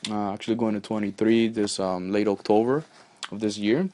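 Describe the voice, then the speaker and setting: A man's voice: lowish voice, steady tone, moderate pace, warm tone, no echo. A man in his twenties from Honduras, hosting a casual solo podcast and rambling with many fillers and restarts, recorded on his phone. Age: 20s. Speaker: podcast host. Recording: dry phone recording with faint music.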